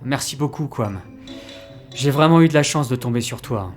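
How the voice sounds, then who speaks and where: A man playing a naive, excitable time-traveling new recruit in a French audio comedy, with a flustered, stammering delivery. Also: mid-range voice, normal speed, soft background music, close to an everyday voice. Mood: doubtful.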